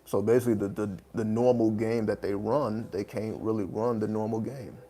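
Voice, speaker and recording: A man with a deep voice talking in a laid-back, casual monologue, loose with slang and swearing. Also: steady tone, dark tone. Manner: laid-back, profane, streetwise slang, drawn-out phrasing. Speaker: channel host.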